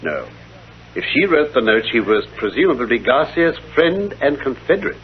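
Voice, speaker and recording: A man playing a self-assured British gentleman detective in a vintage radio drama, speaking in precise, formal explanations. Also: mid-range voice, big pitch swings, moderate pace, natural voice. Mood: firm deduction. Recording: dull, lo-fi vintage radio recording.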